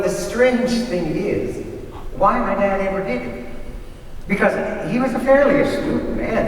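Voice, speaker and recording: A man telling folksy stories in a conversational, rambling way, pausing as he goes, in a staged reading. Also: high-pitched. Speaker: readers theater performer. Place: large hall, live stage reading. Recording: echoey hall from far off, faint noise.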